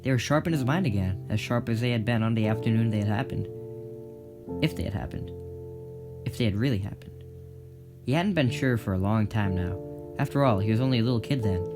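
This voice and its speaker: A man's voice: on the low side, brisk, long pauses. A man reading scripted story narration for an audio drama. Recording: prominent guitar music behind. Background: plucked guitar music.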